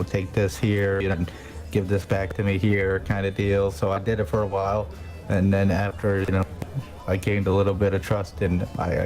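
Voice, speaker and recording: A man's voice: deep voice, darkish tone, roomy sound, recorded from a distance, lightly acted. A man playing a rambling New York mafia hitman. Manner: rambling, hesitant, full of "you know". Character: young male mafia hitman boasting of his past. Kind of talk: improvised character in a game voice chat.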